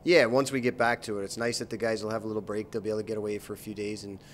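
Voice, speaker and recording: A man in his thirties, a hockey coach, answering post-game questions in a measured way, with many fillers and restarts, into a handheld mic. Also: on the low side, little pitch movement, slight background noise. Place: arena interview backdrop, indoors. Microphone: handheld mic held by interviewer.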